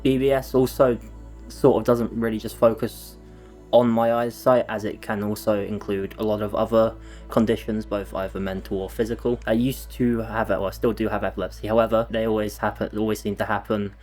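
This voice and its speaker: Low, flat-voiced eighteen-year-old man. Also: a little fast, soft background music. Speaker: interviewee, college student. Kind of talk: documentary interview testimony.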